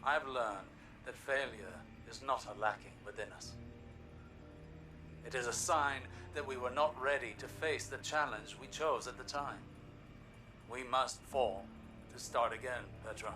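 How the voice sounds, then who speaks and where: An actor playing an older scholarly warlock in a video game, grave and proud, with formal, measured, erudite speech. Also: male voice, mid-range voice, music in the background, natural voice. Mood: reassuring.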